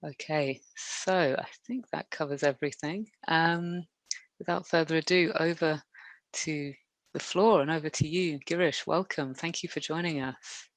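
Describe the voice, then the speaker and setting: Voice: medium pitch, fairly even intonation, a little slow, no room sound, low-quality recording. An academic chairing an online workshop, speaking politely and steadily with many fillers over a video call. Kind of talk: hosting an online academic event.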